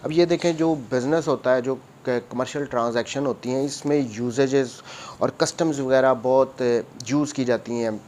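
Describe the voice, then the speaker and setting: A man's voice: medium pitch, moderate pace, a little mellow, a little hiss or hum. A man with a Pakistani accent giving an explanatory law lecture, mixing in English legal terms and repeating and rephrasing his points in a didactic way.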